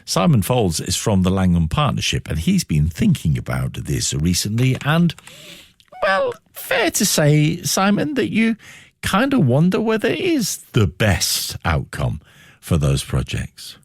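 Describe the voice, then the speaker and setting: A man's voice: medium pitch, huge pitch swings, measured pace, slightly rough, no echo, clean studio sound. A man with a British accent hosting a conversational radio interview, reflective and given to posing questions. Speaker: radio show host.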